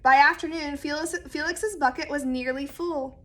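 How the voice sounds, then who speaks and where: A woman reading a children's picture book aloud expressively and deliberately, speaking straight to young listeners. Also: high voice, steady tone, fairly bright tone. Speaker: read-aloud storyteller.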